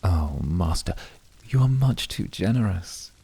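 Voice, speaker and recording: A man plays a cool male incubus in an ASMR roleplay, his voice very husky and full of gravelly creak. He speaks darkly and formally, with dry teasing and courtly devotion. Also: low voice, animated, mellow, full character voice. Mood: cool, challenging. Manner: formal, measured phrasing.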